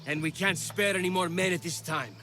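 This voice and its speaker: An actor playing a persuasive Spartan army officer in a video game, speaking earnestly and formally. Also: male voice, high voice, a little fast, over music, lightly acted. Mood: assured, persuasive. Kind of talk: video game dramatic acting.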